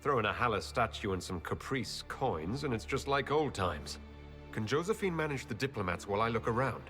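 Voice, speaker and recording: An actor playing a human male leader in a video game, measured, commanding and dryly wry. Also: medium pitch, expressive, fairly bright tone, soft background music, close to an everyday voice. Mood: wry, questioning. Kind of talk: video game cutscene acting.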